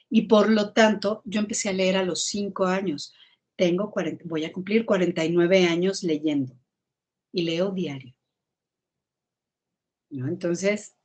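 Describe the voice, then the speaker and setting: A woman, giving an online talk on writing in a lively, emphatic way and repeating key words. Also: lowish voice. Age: 50s. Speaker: guest speaker on writing. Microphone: video call.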